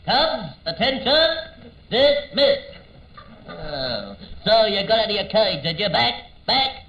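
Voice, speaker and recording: An actor playing a self-important, timid lion tamer with an Australian accent and pompous comic delivery on old-time radio. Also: male voice, sits high, very animated, slow, slightly rough, heavily acted. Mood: commanding. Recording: muffled old radio recording.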